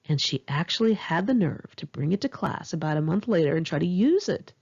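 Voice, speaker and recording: A Californian American woman reading her own memoir aloud in a candid, expressive, conversational way, with a husky, creaky voice. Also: deep voice, fairly quick, dull audio. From Los Angeles area, California, United States. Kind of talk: narrated memoir audiobook, one-take home reading.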